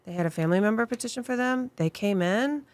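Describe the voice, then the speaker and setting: A woman's voice: mid-range voice, fast talker, darkish tone, no room sound. A woman immigration attorney being interviewed, speaking with passion and emphasis and stacking points into long lists. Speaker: immigration attorney and law firm owner. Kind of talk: interview guest answering questions.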